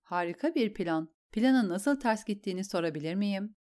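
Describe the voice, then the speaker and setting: A woman's voice: medium pitch, expressive, moderate pace, a little mellow, close to an everyday voice. A woman voicing a cold, commanding queen who speaks in an imperious, cutting way. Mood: scornful.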